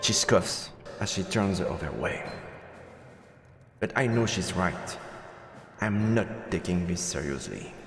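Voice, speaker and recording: A man's voice: deep voice, animated, slow pace, vocal fry, long pauses, reverberant, big-room sound, faint music behind. A man streaming a game, switching between let's play commentary and reading the story text aloud.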